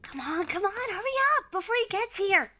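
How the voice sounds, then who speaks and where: A woman voicing a bossy, excitable young mouse child in an audio drama, talking in an impatient, emphatic way. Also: very high-pitched, fairly quick. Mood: eager, confiding. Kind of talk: audio drama cartoon acting.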